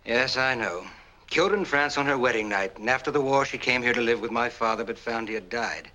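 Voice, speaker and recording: An actor in a 1940s film noir playing a self-assured war-veteran husband who explains things in a measured, polite, controlled way. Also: male voice, medium pitch, pushed and bright, natural voice, low-quality recording. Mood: controlled, persuasive. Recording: old 1940s film soundtrack.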